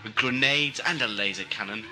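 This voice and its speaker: A man playing a bossy, combative spaceship soldier in an audio drama, impatient and gruff in manner. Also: lowish voice, normal speed, lightly acted. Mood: aggressive. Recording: music behind amateur audio drama.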